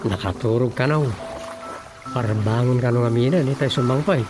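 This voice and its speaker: A man's voice: mid-range voice, normal speed, close to an everyday voice. A man playing a village councilman, a prodding sidekick in a radio drama comedy, conversational and questioning with an Ilocano accent. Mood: reporting, prodding. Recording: music under the voice.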